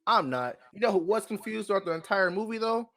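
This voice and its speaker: A man co-hosting a podcast, talking casually and conversationally with lots of slang and animated opinions. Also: sits high, a little fast. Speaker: podcast co-host. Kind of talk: casual movie discussion chat.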